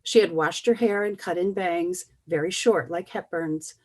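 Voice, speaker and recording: Low-voiced woman reading her prose. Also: moderate pace. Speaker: novelist reading an excerpt.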